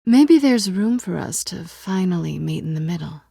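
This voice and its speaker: A woman's voice: lowish voice, expressive, normal speed, vocal fry, dark tone, slightly rough, dry recording, full character voice. A woman voicing a sly, self-assured cat burglar in a video game, with a sultry, wry, coolly teasing way of talking. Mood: wry, probing. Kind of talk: video game voice acting, dramatic dialogue.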